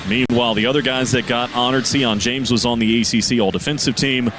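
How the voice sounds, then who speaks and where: A man's voice: mid-range voice, steady tone, fairly quick, background noise. A man calling a basketball game on radio, reading lineups and stats from an arena broadcast position. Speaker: radio play-by-play announcer. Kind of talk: pregame sports radio broadcast.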